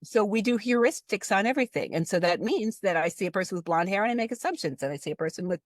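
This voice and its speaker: A woman in her fifties from Cleveland talks through ideas in a loose, conversational way. Her pitch jumps high on emphasized words. The recording is dry and close. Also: expressive, fast talker, no echo. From Cleveland, Ohio. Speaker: guest expert. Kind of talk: casual conversational discussion.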